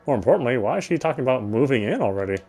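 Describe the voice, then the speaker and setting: Mid-pitched man reading story narration aloud. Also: sing-song, very fast, a little mellow, faint music behind. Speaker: game player reading story text aloud. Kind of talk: first-person narration of a visual novel.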